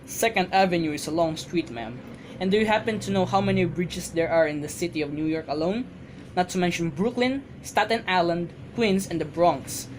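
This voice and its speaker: A woman's voice: fairly low pitch, normal speed, natural voice. A woman playing a weary, dismissive police desk sergeant in an amateur radio drama, brusque and patronizing. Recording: faint background noise.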